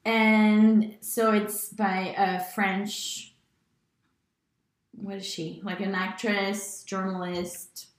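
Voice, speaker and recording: Woman, mid pitch, rambling casual chat, laughs a lot, echoey room. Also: flat delivery, drawn-out words. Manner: rambling, heavy use of "like", laughs often. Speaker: podcast co-host.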